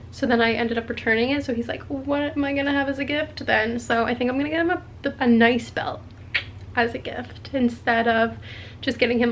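A woman's voice: fairly high pitch, slight background noise. A young woman in a small hotel room, talking casually to a handheld camera about her day, rambling with lots of filler words. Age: young adult. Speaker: vlogger. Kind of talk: casual travel vlog chat. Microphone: handheld camera at arm's length.

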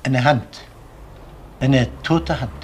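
An actor playing a courteous, hesitant elderly pensioner with a formal way of talking in a German crime radio play. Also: male voice, mid-range voice, drawn-out words, slight background noise. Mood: tentative, polite. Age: 60s or older. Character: polite, fussy old male pensioner and hobby photographer.

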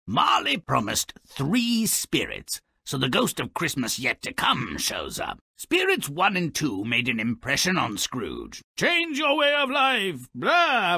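A man playing a gleefully cynical, grubby little imp who narrates video game fairy tales in a raspy, mocking, hammy way. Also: a little high, sharp tone, no room sound, studio quality, heavily acted. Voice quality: gravelly, raspy. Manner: sardonic, mocking, hammy delivery.

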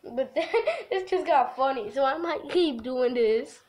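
A woman's voice: high voice, expressive, a little slow, a little mellow. A giggly woman hosting a casual game livestream, chatting and reading aloud from a visual novel. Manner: giggly, breaks off into laughter. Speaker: livestream host.

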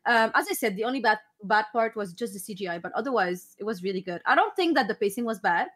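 A young woman from Lebanon with a Lebanese French accent, chatting casually and excitedly on a live stream, often restarting and repeating her words. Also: on the high side, fast talker. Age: young adult. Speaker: co-host reacting to an anime episode. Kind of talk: casual chat. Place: small bedroom streaming setup, indoors.

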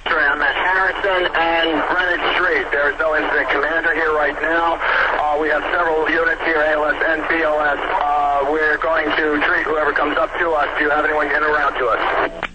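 A man's voice: on the high side, little pitch movement, normal speed, heavy background noise. A man working as an emergency medical responder, giving terse reports to dispatch over a two-way radio.

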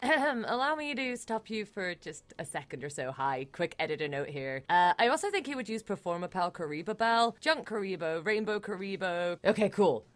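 A woman, the video's editor, briefly cutting in with a quick note. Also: medium pitch, sing-song.

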